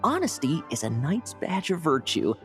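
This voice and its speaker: A woman's voice: sits low, very animated, normal speed, soft background music. A woman playing a sly young knight in a video game, coaxing and playfully evasive. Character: sly young knight scheming to inherit a title. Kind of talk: video game cutscene dialogue.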